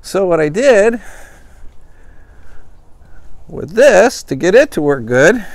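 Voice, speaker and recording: A man with a slightly higher voice talks slowly through a homemade truck walkthrough. His phrasing is plain and homespun, full of fillers and restarts, in a relaxed show-and-tell manner. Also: no room sound. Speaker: vlogger showing his truck camper. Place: in and around a pickup truck.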